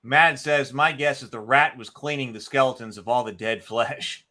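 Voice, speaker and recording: A man's voice: medium pitch, normal speed, pushed and bright. A man hosting a solo board game session, talking casually through his moves and dice counts as he thinks aloud. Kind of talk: casual live play-by-play with narrated moves.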